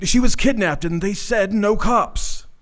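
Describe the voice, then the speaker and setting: A man performs a troubled, courteous father from a noir radio mystery. His voice is quite high for a man. He speaks formally and earnestly, with worry in his delivery. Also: measured pace, a bit bright. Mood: worried. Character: anxious, polite father of a kidnapped daughter. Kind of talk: noir radio drama acting.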